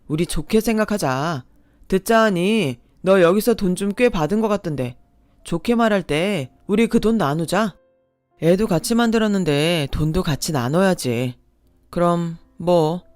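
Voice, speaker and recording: A sleazy young man who drags out his taunts in a slow, sneering drawl, as a woman puts him on. The voice is close and dry, with faint music under it. It reads as a storybook villain. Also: fairly low pitch, no echo. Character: sleazy scheming young man, mocking ex-lover.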